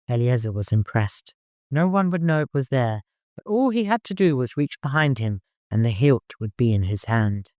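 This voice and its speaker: A man's voice: mid-range voice, big pitch swings, moderate pace, mellow, no echo, clean studio sound. A man narrating a literary audiobook from a prepared script.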